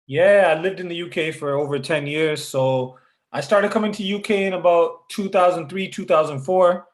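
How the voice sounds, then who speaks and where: A man from Canada, a rapper guest telling his story in a relaxed way, with many filler words. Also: a little high, moderate pace, echoey room. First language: Punjabi. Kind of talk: casual interview over a call.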